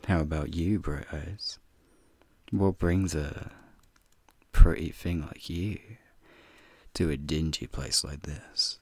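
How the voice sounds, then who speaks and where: Very deep, gravelly flirty werewolf played by a man. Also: steady tone, takes it slowly, long pauses, no echo, exaggerated voice. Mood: flirty, relaxed. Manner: teasing, flirtatious, folksy slang, chuckling. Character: flirty, teasing male werewolf, playful urban explorer. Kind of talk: ASMR roleplay, speaking to the listener.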